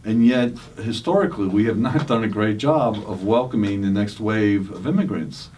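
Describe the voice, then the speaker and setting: A man with a fairly low voice hosts a radio interview, his questions loose and conversational. He sounds a little distant from the mic. A faint noise floor runs under him. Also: moderate pace, a little mellow. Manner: conversational, asks open questions, self-deprecating. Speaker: radio host. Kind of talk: interviewing a guest on talk radio.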